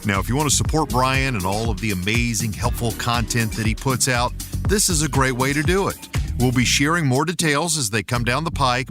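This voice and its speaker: Producer guy doing a hyped ad read, loud music bed behind him. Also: mid-range voice. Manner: enthusiastic, promotional. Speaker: podcast producer reading an ad. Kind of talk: upbeat ad read.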